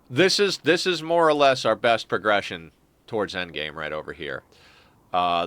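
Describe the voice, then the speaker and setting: A young man streams a game, sounding a bit stuffed up. His rather low voice is bright and smooth, and he talks in a loose, wry way, often backtracking to fix what he just said. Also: no echo. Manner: rambling, self-correcting, wry. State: stuffed-up nose. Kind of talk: casual gameplay commentary.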